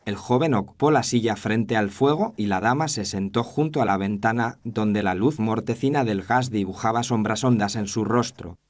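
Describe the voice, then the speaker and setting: A man's voice: lowish voice, moderate pace, few pauses, dry recording. A man narrating a scripted first-person detective story in a formal, literary storytelling manner.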